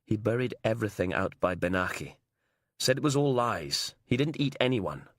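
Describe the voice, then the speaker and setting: A man's voice: lowish voice, animated, moderate pace, fairly bright tone, dry recording. A man doing a whiny inmate with a fake posh accent. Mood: shaken. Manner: whiny, self-pitying. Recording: dry close studio sound.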